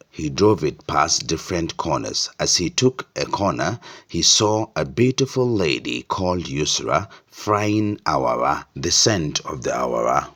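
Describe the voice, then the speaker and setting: Male storyteller, Nigerian accent, extremely low husky voice, deliberate descriptive folktale narration. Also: fairly bright tone.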